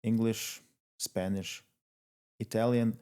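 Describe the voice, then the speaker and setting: A man from Latvia whose first language is Russian, a coach speaking as a podcast guest and giving brief, measured answers. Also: on the low side, steady tone, dark tone, clean tone. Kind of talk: podcast interview.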